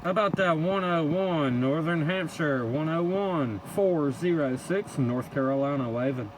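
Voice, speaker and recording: A man's voice: a little high, measured pace. A man working a CB radio, calling out contacts in clipped call-sign phrases. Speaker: CB radio operator. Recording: very loud vehicle-like background noise.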